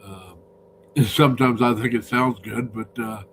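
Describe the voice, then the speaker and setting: A man chats loosely on a live stream, his voice deeper than usual from a cold. He rambles and greets viewers by name, at a middling pitch, over a faint hum. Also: fairly even intonation, unhurried, fairly bright tone. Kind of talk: casual live-stream chat.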